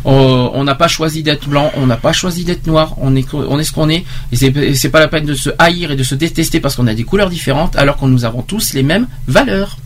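The man you would hear hosting a small local radio talk show, high-voiced, circling back on his words, noise humming behind him. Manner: halting, repeats himself emphatically. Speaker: radio host. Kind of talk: informal radio discussion with read-out testimonies. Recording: clear background noise.

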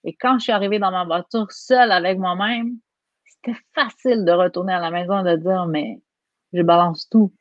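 A woman's voice: lowish voice, moderate pace, no echo. Québécois woman guest, earnest and emphatic, dry dull lo-fi line. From Quebec. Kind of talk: conversational interview.

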